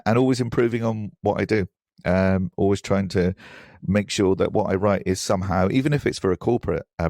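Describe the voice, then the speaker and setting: A man's voice: low-pitched, darkish tone, no room sound, studio quality. A man speaking as an interview guest, telling personal anecdotes in a thoughtful, hesitant, self-deprecating way. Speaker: podcast guest, writer.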